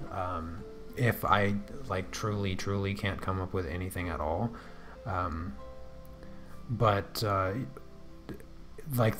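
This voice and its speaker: A man with a naturally soft, low voice talks casually to viewers while he draws. He hesitates often, repeating words and trailing off before picking the thought back up. Faint music plays quietly underneath. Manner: hesitant, many restarts and fillers. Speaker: artist livestreaming while drawing. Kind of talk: casual livestream chat answering viewers.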